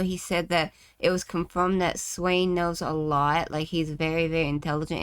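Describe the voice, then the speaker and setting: Female reaction host: lower pitch, chatty casual commentary, dry close sound. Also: fairly bright tone, no echo. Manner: chatty, informal musing.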